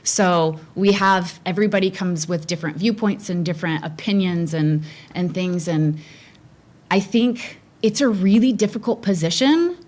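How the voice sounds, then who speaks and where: A woman's voice: on the low side, moderate pace, a little mellow. A middle-aged woman, a school board candidate, answering forum questions earnestly with frequent restarts.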